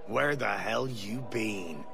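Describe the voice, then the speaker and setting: An actor playing a blunt, gruff seafaring gunner with a gravelly voice in a video game. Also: male voice, on the low side, expressive, takes it slowly, noisy background, heavily acted. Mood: gruff, wry.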